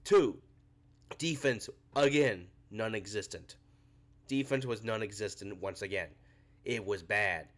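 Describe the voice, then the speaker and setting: A young man hosting a postgame sports reaction show, fighting a cold and sounding a bit scratchy, ranting in frustration. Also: mid-range voice. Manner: frustrated ranting, rising when angry. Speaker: podcast host.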